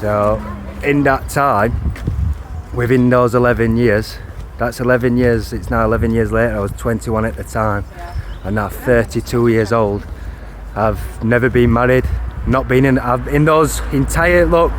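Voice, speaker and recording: A man's voice: medium pitch, steady tone, unhurried. Man in his thirties outdoors. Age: 30s. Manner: repetitive, restarting, insistent. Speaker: vlogger. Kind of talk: first-person video to camera. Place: outdoors by a street. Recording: outdoor street noise. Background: traffic noise.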